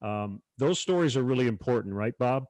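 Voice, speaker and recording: Guy hosting a panel chat, normal pitch, dry close sound, talking it through conversationally. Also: expressive, a little fast, darkish tone, no room sound. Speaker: panel moderator. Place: virtual panel over video call.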